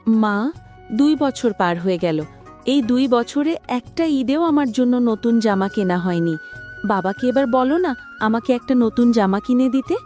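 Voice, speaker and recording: High-voiced, earnest cartoon little girl. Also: expressive, measured pace, warm tone. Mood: pleading. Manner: pleading, earnest. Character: eager, hopeful young daughter of a poor family. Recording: background music under the voice.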